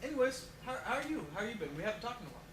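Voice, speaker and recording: A man's voice: extremely high pitch, very animated, very fast. A man playing a friendly, polite talk show host in a homemade mock interview, chatty and hesitant, on echoey, noisy audio. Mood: polite, welcoming.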